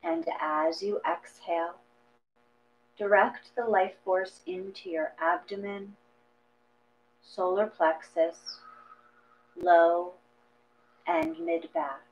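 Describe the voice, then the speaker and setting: Female meditation reader: gentle, scripted, very unhurried delivery with long pauses; dull, humming lo-fi recording. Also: medium pitch, very animated, creaky. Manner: gentle, measured, with long pauses between phrases. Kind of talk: slow guided meditation, read from a script. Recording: dull lo-fi audio with mains hum.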